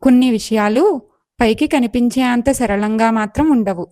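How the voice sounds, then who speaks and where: Calm wise goddess, high female voice, woman acting. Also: normal speed, no room sound, clean studio sound, natural voice. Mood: calm, instructive. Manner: calm, instructive, reassuring. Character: wise, patient elder goddess travelling as an ordinary woman. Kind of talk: acted moral story dialogue.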